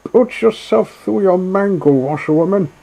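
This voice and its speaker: A man's voice: sits high, unhurried, gravelly, dark tone, voice pushed up, full character voice, poor audio. A man voicing a fat, mocking, brash barge woman from a storybook, jeering and scolding. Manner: gasping, exclamatory scolding and jeering. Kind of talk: storybook character voice in an audiobook reading.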